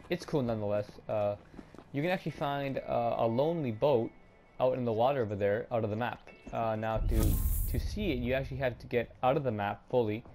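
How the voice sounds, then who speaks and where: Young guy talking over gameplay, mid pitch, kinda raspy, lots of uhs and restarts, faint music under it. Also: warm tone. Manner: halting, many ums and restarts. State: slightly raspy throat, clearing it. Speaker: gaming YouTuber. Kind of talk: casual gameplay walkthrough commentary.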